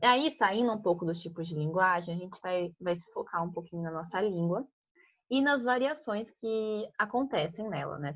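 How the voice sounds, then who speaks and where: A young woman from Piracicaba in Brazil, teaching a casual grammar class and often checking in with "né?". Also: medium pitch. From Piracicaba, São Paulo state, Brazil. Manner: conversational, frequent "né?" tags and restarts. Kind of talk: informal online grammar class. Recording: muffled lo-fi audio.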